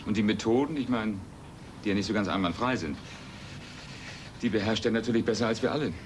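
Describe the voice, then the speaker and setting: An actor playing a cautious, kindly journalist in a radio play, speaking earnestly and hesitantly, as if to children. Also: male voice, fairly low pitch, fast talker, close to an everyday voice. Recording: noisy radio play tape.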